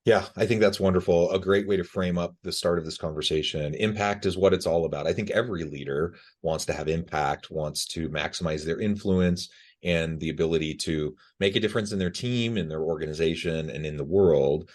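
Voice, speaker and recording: A man's voice: low-pitched, a little fast, darkish tone. A man hosting a podcast interview, friendly and affirming, with frequent fillers. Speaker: podcast host.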